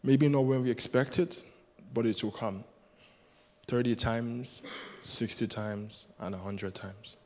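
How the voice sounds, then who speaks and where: A man's voice: medium pitch, steady tone, slow, a little hiss or hum. A man whose first language is Yoruba, preaching a sermon as a guest preacher in an earnest, rhythmic way that builds with repetition. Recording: long hall reverb.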